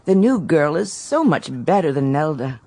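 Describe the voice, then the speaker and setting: An actress playing a middle-aged wife in a radio drama, with music beneath. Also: female voice, low voice, big pitch swings, moderate pace, dark tone, full character voice. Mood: complacent. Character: middle-aged lady of the house, anxious then complacent. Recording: with music underneath.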